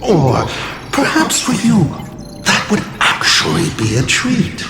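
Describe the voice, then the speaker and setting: A gloating game villain who mocks his enemy with jokes. His pitch swings widely and he draws out his words slowly. A roomy reverb and loud music play behind him. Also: huge pitch swings, heavily acted. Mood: mocking. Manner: mocking, theatrical, drawn-out phrasing. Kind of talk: game cutscene voice acting.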